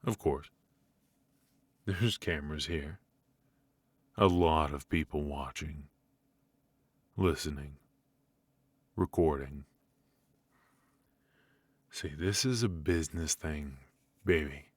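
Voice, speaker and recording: Guy acting as a suave scary mob boss, asmr noir roleplay, super slow n husky, polite but threatening, man's voice. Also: rumbling low, no echo, exaggerated voice. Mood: smug, menacing. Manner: measured, mock-courteous, quietly threatening. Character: menacing, suave mafia boss, calm and controlling. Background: faint music.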